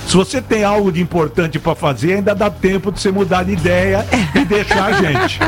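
A man's voice: high voice, expressive, fairly quick. A Brazilian man co-hosting a radio show with casual, joking banter. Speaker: radio co-host. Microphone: studio broadcast mic. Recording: music playing under the voice.

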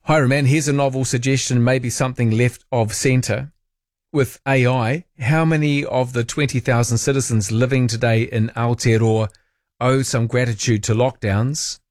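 A man's voice: mid-range voice, fairly even intonation, normal speed, dry recording, clean studio sound. A man with a New Zealand accent hosting a late-night phone-in radio show, talking in a casual, colloquial, wry way. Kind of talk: phone-in radio chat and commentary.

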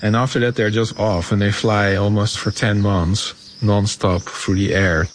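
A man's voice: fairly low pitch, little pitch movement, unhurried, slight background noise. A man from the Netherlands with a Dutch accent, an ecologist recalling his experiences in a personal way. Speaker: ecologist, interviewee. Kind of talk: personal recollection.

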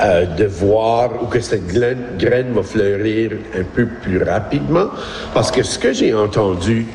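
Lowish-voiced Acadian man in an echoey hall. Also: a little mellow. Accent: Acadian French of Prince Edward Island. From Evangeline region, Prince Edward Island, Canada. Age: 50s. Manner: reflective, halting, rephrases as he goes. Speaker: school board employee, former principal. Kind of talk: informal speech at a community discussion. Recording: echoey hall, faint background noise.

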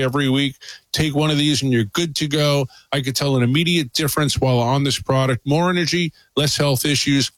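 A man's voice: medium pitch, a bit bright, dry recording, poor audio. A man with a mid-range voice speaks as a doctor guest on a radio show, casual and chatty with small fillers. The sound is dry and close to the microphone. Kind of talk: radio interview pitch.

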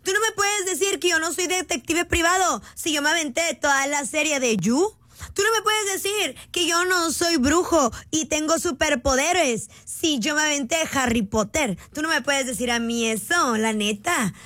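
A young Mexican woman streaming, chatting in an animated, joking, rambling way. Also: sits high, normal speed, dry recording. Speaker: streamer reading viewer voice notes. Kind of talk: casual livestream chat.

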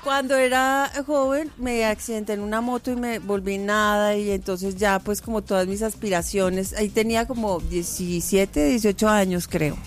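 A woman's voice: medium pitch, steady tone, normal speed, few pauses. A woman in her fifties with a Paisa Colombian accent, a radio guest telling stories in a chatty way. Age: 50s. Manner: chatty storyteller, acts out quoted voices. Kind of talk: casual radio interview.

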